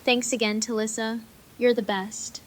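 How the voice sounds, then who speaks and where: A woman's voice: on the high side, flat delivery, unhurried, close to an everyday voice. A woman playing an earnest, sincere young camp medic in a fan audio drama. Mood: grateful.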